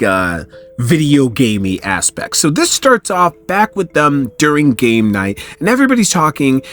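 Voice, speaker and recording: A man hosting a recap in casual commentary, telling the story in an animated, conversational way. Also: mid-range voice. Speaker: host of a comic book recap channel.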